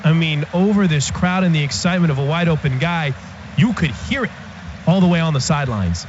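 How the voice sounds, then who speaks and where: A man's voice: on the high side, expressive, a little fast, slight background noise. A man giving a live radio report from a football sideline. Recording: dull field microphone in stadium.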